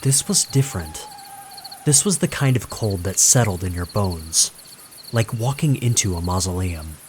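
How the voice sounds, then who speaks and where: A man reading a scripted horror story as narrator, dramatic and suspenseful, with deliberate pauses. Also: sits low, expressive, a little mellow, a little hiss or hum.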